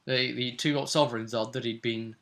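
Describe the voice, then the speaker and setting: A man's voice: medium pitch, steady tone, moderate pace, fairly bright tone. A man hosting as game master, casually recapping a tabletop game and hesitantly piecing events together as he goes.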